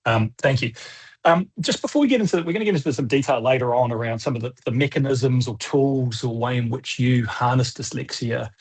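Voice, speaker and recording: A man's voice: mid-range voice, fairly quick, a little hiss or hum. A man moderating a webinar panel over a video call, asking long, conversational questions.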